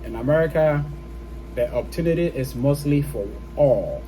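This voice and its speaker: A man's voice: fairly high pitch, animated, moderate pace, dark tone, a little hiss or hum, rough recording. A man with a Ghanaian accent giving his opinion in a casual, explanatory vlog style, often checking in with the listener. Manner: explanatory, often checks in with "okay?" and "you know". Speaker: vlogger. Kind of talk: casual opinion talk to camera.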